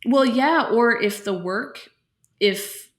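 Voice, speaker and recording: A woman's voice: a little high, slow. A woman playing a concerned, slightly overwhelmed special education teacher, talking candidly and hesitantly in naturalistic dialogue. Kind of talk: naturalistic acted interview dialogue.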